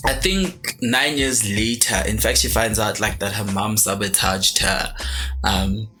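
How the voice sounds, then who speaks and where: A man's voice: fairly low pitch, a little slow, faint music behind. A man from South Africa hosting a casual podcast chat, retelling a film plot with many fillers and restarts. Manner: animated storytelling with many fillers. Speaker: podcast host.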